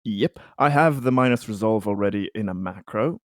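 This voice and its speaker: A man's voice: lowish voice, animated, moderate pace, no echo, clean studio sound. A man playing a tabletop roleplaying game, casually and with amusement describing what his character does. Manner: amused, laughs readily, swears.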